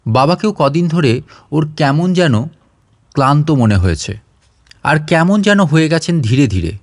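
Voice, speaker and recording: A man's voice: on the high side, animated, a little slow, no room sound, clean studio sound. A man reading a scripted short story as an audio narrator.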